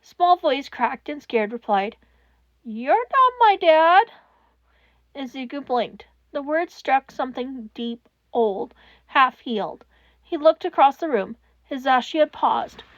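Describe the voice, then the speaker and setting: A woman reading a fan fiction story aloud in expressive scripted narration. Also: high voice, a little slow, dry recording, dull audio.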